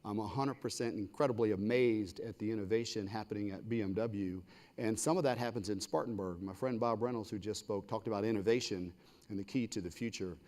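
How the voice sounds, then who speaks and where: A man's voice: on the low side, brisk, a little mellow. A man with an American accent speaking as a university leader on a public panel, semi-formal and enthusiastic, in short punchy phrases.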